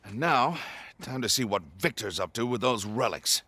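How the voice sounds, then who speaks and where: An actor playing an excitable, determined older scientist in a video game, with an emphatic, articulate delivery. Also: male voice, mid-range voice, moderate pace, slight background noise. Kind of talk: video game cutscene acting.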